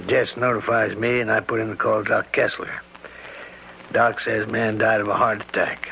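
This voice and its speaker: An actor playing a folksy, plain-spoken small-town sheriff who quietly probes, in a radio mystery drama. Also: male voice, lowish voice, level tone, vocal fry. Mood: casually probing. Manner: plain-spoken, rural phrasing, deliberate. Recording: dull lo-fi radio recording with hiss.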